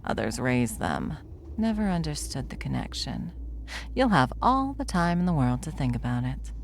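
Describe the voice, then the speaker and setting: A woman playing a bored, smug, powerful villain in an ASMR roleplay, with a wry, taunting drawl and a creaky voice. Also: low voice, sing-song, normal speed, slight background noise, heavily acted. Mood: wry, conversational.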